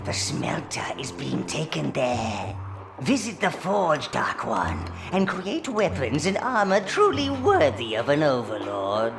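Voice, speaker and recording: A wizened old goblin minion creaks out counsel to his evil master over game music. The delivery is theatrical and obsequious, swooping wildly in pitch and drawing words out slowly, with sardonic relish. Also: huge pitch swings, exaggerated voice. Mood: servile, instructive. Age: elderly. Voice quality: gravelly, creaky. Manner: obsequious, drawn-out, gleefully sinister. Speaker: advisor to an evil overlord. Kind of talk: theatrical game character dialogue.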